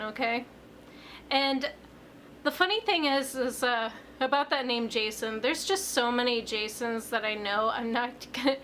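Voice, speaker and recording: A woman in her twenties, a little hoarse after being ill, chatting casually to camera in a rambling way with lots of fillers. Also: fairly bright tone, a little hiss or hum. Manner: rambling, filler-heavy, jumps up in pitch on emphasis. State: slightly hoarse after illness. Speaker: vlogger. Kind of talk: casual confessional chat to camera.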